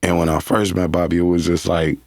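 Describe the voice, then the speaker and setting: Deep-voiced Los Angeles man, slow and flat. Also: gravelly, no room sound, clean studio sound. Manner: loose, rambling storytelling with slang. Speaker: guest artist. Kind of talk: casual interview.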